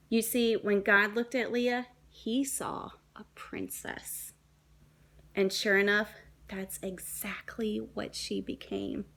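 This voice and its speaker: A woman, a young adult, reading a children's story aloud in a dramatic, deliberate way with shifts between emphasis and hush. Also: mid-range voice. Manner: dramatic, deliberate phrasing with hushed and emphatic moments.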